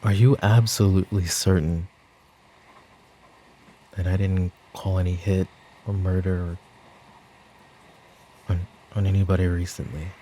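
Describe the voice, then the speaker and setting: Weary mafia boss, played by a man in an audio roleplay: low, mellow, brooding, burdened by guilt, faint noise behind. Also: level tone, poor audio. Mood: brooding, confiding. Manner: weary, brooding, speaking in sighs and pauses. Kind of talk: roleplay ASMR audio drama acting.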